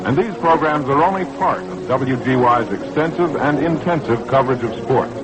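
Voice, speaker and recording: A man's voice: mid-range voice, normal speed, rough recording. A man reading a scripted station promo in a formal, polished 1960s radio announcer style. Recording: vintage 1960s AM radio recording. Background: music.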